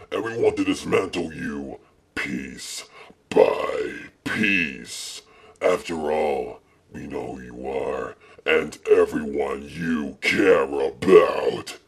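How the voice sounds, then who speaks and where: A man voicing a vengeful, gloating alien monster villain with a growling, gravelly voice and slow, menacing delivery. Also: a bass voice, pitched below the performer's own voice, heavily acted. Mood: taunting. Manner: slow, menacing, drawn-out threats.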